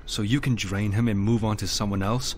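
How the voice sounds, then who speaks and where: Actor as a low-voiced, stern fantasy hero. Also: male voice, fairly even intonation, fairly quick, slight background noise, lightly acted. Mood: stern, defiant. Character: stern heroic male protagonist, blunt and righteous. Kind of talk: fantasy video game dialogue.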